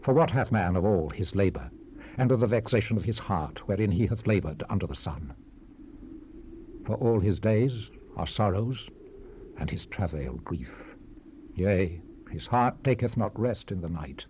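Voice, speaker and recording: Casting: brooding scripture sage, low mellow voice, grave theatrical delivery with falling phrase endings, seventies cassette hiss. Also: male voice, animated. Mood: weary, sorrowful. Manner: solemn, measured, declamatory, with falling phrase endings. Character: weary, brooding old-world preacher reflecting on life's vanity. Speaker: scripture narrator. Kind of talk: dramatized Bible reading, solemn and theatrical. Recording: hissy 1970s cassette rip.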